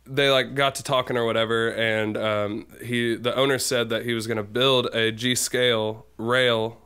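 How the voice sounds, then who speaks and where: A man with a smooth, clear voice chats casually as a guest on a podcast. He rambles along, loading his sentences with fillers and little asides. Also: medium pitch, level tone, normal speed, bright, forceful tone. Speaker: guest musician.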